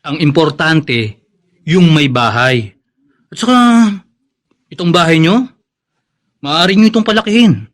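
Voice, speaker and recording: A man's voice: a little high, animated, a little slow, pauses often, fairly bright tone. A man playing a confident, persuasive schoolteacher with a shady sideline in a radio drama, with a Filipino accent.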